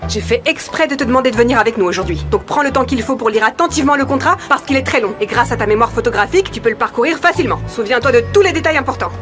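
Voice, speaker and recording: A woman acting a bossy, brisk agency manager in a French-dubbed TV soap. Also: a little high, lively intonation, natural voice. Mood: brisk instructing. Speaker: dubbing actor. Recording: music under the dialogue.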